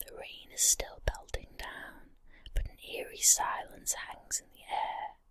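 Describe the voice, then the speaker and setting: A woman whispering a scripted ASMR guided story in a breathy, unvoiced voice, in short deliberate phrases with pauses. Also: fairly bright tone. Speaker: ASMR narrator.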